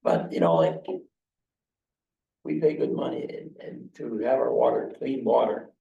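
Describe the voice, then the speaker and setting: A hoarse man at a council meeting speaks haltingly, searching for words. His voice is very gravelly, with lively, swinging pitch. He sounds distant and dull, in an echoey room. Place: council chamber. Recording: dull echoey chamber from far off.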